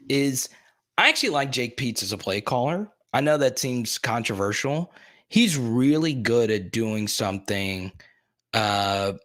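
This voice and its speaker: A man's voice: mid-range voice, fairly bright tone. A young man hosting a sports live stream, rambling casually while reading and answering viewers' chat comments in his plain, everyday voice.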